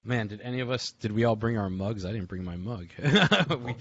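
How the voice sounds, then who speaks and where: A man's voice: lowish voice, animated, moderate pace, a bit bright, slight background noise. A man hosting a casual group call, reminiscing in an informal, rambling way with chuckles between phrases. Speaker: host of a memorial group call. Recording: dull lo-fi online call audio.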